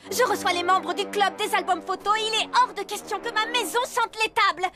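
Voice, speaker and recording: A woman's voice: very high-pitched, fairly quick. A woman voicing a fussy, exasperated suburban mother with a prim, scolding manner. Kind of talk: French cartoon dub. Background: music.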